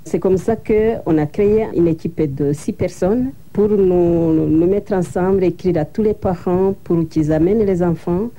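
A woman's voice: on the low side, warm tone. A woman guest in an interview, plain-spoken and recounting events step by step. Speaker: interview guest, association co-founder. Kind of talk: broadcast interview.

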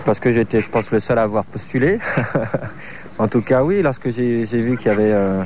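A man in his thirties, a choreographer from France, answering interview questions in a halting way, searching for words with many fillers. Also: medium pitch, a little mellow, slight background noise. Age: 30s.

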